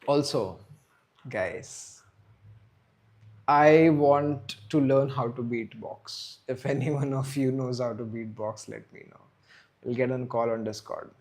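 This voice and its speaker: A man's voice: medium pitch, takes it slowly, long pauses, a little mellow. Rambling man streaming live. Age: 30s. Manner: rambling, reads out and answers viewer chat. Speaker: livestream host. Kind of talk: casual live stream chat.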